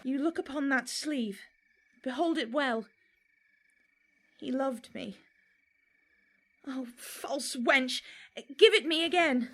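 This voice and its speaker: A woman playing a torn, teasing young Trojan woman in slow, wavering, theatrical Shakespearean verse. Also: high-pitched, fairly bright tone, full character voice.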